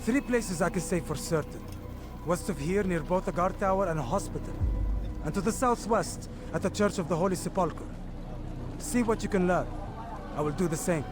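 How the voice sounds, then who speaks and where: Brief: an actor as a brisk, instructive assassin chief, high-pitched, music behind. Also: male voice, close to an everyday voice. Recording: game score under dialogue.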